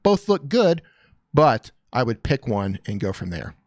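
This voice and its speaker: A man's voice: medium pitch, sing-song, unhurried, no echo. A man hosting a live stream, giving casual, upbeat coaching advice on channels and reading viewer comments aloud. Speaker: YouTube coach reviewing channels.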